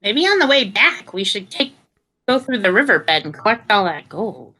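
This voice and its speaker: A woman's voice: mid-range voice, very animated, normal speed, pushed and bright. A woman playing a naive, candid, childlike adventurer in a tabletop roleplaying game, with simple blunt remarks. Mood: eager.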